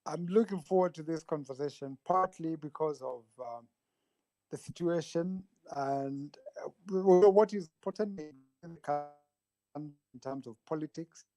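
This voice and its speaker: A man joining a political panel as a guest, speaking thoughtfully with pauses. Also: a little high, mellow, no echo, rough recording. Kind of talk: discussion of politics.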